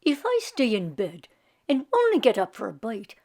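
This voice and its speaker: A woman's voice: fairly high pitch, wildly swooping pitch, a little mellow, exaggerated voice. Woman putting on a lazy, blustering Irish husband. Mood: weary, contented. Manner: folksy, drawn-out, self-justifying grumbling.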